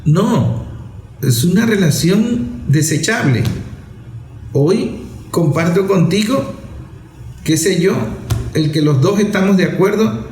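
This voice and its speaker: A man giving a reflective talk on society, measured and explanatory, repeating phrases for emphasis. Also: sits high, expressive, a little mellow, reverberant, slight background noise, rough recording. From Venezuela.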